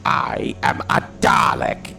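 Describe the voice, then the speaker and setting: A man's voice: takes it slowly, sharp tone, faint music behind. A man playing a ruthless, defiant alien war machine in an audio drama, with staccato, menacing delivery and robotic modulation. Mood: cold, defiant.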